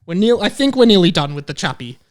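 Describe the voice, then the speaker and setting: Lively, joking male podcast host. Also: high-pitched, fast. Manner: amused, laughs through his commentary. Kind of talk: casual joking podcast chat.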